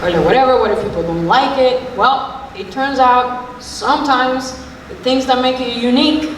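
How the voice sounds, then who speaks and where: A woman with a Cuban accent tells a story on a panel, pausing on ums as she speaks. Her voice is fairly high. The room carries a long echo and a clear hiss from a distance. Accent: Cuban Spanish. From Cuba. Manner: hesitant, many ums, laughs easily. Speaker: singer, panelist. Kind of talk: panel discussion, personal anecdote. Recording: echoey hall from far off.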